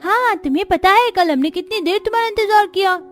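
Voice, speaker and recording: A woman performs an eager small child from a cartoon story. The voice is very high and chatty, like a kid at play. Faint music runs behind it. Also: brisk. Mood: eager, questioning. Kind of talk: Hindi cartoon story dialogue.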